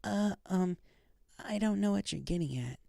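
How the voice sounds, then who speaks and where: Guy voicing a young girl pegasus pony, pitched up, casual n game, storybook read. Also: animated, normal speed, vocal fry, mellow, no echo, clean studio sound, full character voice. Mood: flustered.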